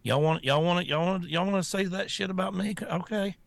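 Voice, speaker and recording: A man's voice: sits high, moderate pace, vocal fry, no room sound. A man co-hosting a political talk show, talking in a fired-up, stammering way with many restarts. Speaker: co-host.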